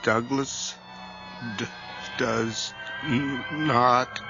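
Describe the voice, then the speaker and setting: Halting, tormented man. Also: medium pitch, fairly even intonation, vocal fry, over music. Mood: dazed, reluctant. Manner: hesitant, halting, stammering. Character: tormented, fearful man possessed by his dead twin. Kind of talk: radio horror drama acting. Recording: dull, muffled old radio recording.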